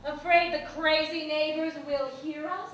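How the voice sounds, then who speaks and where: A woman's voice: high voice, steady tone, unhurried, a bit bright, heavy reverb, far from the mic, slight background noise. A woman acting an impulsive young newlywed wife on stage, tearful and laughing through her outbursts. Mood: resolute.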